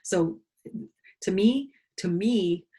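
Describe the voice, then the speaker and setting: A woman's voice: medium pitch, extremely slow, warm tone, breathy edge. A woman from Canada, a singer-songwriter and interview guest, speaking earnestly and with conviction. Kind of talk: reflective conversation.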